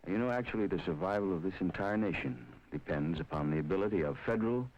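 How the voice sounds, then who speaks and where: A man narrating a documentary in a measured, solemn scripted delivery. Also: deep voice, darkish tone. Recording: dull lo-fi 1950s film soundtrack. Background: film score under the voice.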